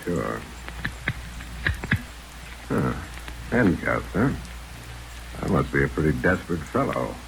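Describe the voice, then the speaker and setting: Tough detective leader, extremely low voice, dry and sardonic, cool-headed, old radio drama, rain noise behind. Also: male voice, big pitch swings, slow pace, gravelly, pauses often, exaggerated voice, low-quality recording. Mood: wry. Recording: old radio recording under rain sounds.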